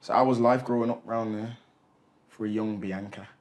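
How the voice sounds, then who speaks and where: A man hosting a podcast, talking casually with lots of slang, repetition and loud laughter. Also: fairly low pitch, steady tone, moderate pace. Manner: casual slang-heavy, laughs loudly, repeats himself. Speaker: podcast host. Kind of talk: casual interview chat.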